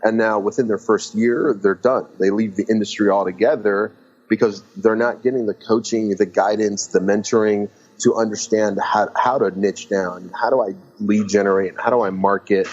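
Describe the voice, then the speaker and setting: A man's voice: on the low side, steady tone, darkish tone, dull audio. A man from Lebanon, raised in California, speaking as a podcast guest on fitness business, telling it with restarts and acted-out thoughts. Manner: talks in quick bursts with restarts, acts out trainers' thoughts. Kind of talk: casual podcast interview.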